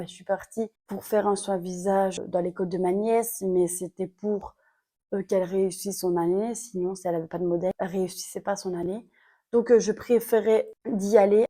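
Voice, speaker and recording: A woman's voice: mid-range voice, warm tone. A woman vlogging casually from her bedroom while she has a cold, chatting candidly and rambling to the camera. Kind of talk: casual vlog chat to camera. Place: home bedroom. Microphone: phone camera.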